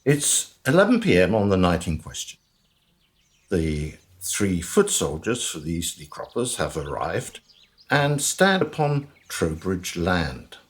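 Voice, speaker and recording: A man's voice: sits low, wildly swooping pitch, full character voice. A man playing a solemn, portentous narrator who builds suspense in a comic radio drama. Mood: ominous. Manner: portentous, deliberate.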